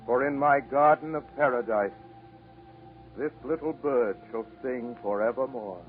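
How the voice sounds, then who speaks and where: A man's voice: medium pitch, a little slow, voice pushed up, lightly acted. Man voicing a grand, solemn, majestic God. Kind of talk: 1940s radio acting. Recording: tinny old 1940s radio broadcast, faint orchestral music.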